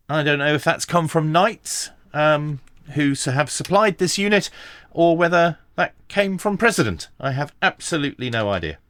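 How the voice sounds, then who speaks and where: A man's voice: on the high side, a bit bright, no room sound. Man, dry close sound, casual reviewer. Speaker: radio equipment reviewer. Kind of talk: casual product review and walkthrough.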